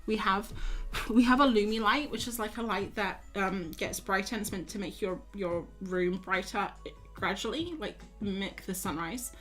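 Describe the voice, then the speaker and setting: A woman's voice: mid-range voice, normal speed, a bit bright, faint music behind, rough recording. A young woman, tired and losing her voice, chatting casually with viewers as she paints on a live stream. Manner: chatty, self-mocking, talks to viewers while drawing. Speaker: art streamer.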